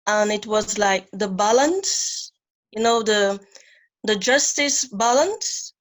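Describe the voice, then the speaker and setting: A woman from Guyana with a Dutch-tinged English accent, asking a question on a call with many pauses and restarts. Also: medium pitch, lively intonation, poor audio. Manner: hesitant, restarts with "um".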